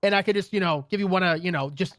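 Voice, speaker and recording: A man's voice: high voice, fast, a bit bright, dry recording, studio quality. A man bantering playfully as a gaming video co-host, with a goofy, theatrical way of talking. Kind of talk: playful improvised gameplay banter.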